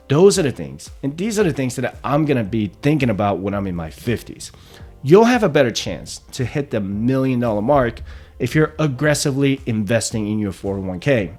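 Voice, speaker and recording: Man in his thirties explaining money. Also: medium pitch. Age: 30s. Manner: steady explanatory, often checks in with "right?". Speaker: personal finance channel host. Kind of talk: explainer walking through retirement numbers.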